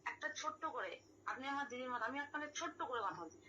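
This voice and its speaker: A woman with a high, sharp, bright voice argues emotionally on a phone call. She pleads insistently and keeps repeating herself, with some noise on the recording. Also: lively intonation, fast talker. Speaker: caller confronting another woman.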